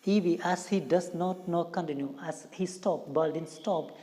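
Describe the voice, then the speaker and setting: A woman reading a play aloud as an English teacher, deliberately repeating and rephrasing lines in simpler words. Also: on the low side, warm tone. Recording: long hollow room reverb.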